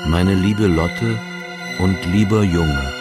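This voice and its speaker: An actor playing a condemned man reading out a farewell letter in a radio drama, measured, grave and formal. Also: deep voice, over loud music. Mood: grave, resigned.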